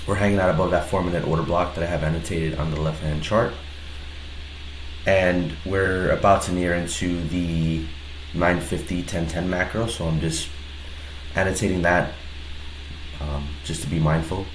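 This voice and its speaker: A man with a head cold and a slightly nasal voice talks through his own trade in a casual, hesitant way, with many pauses and restarts. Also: sits low, fairly even intonation. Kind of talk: casual screen-recorded trade breakdown. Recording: roomy echo with faint background noise.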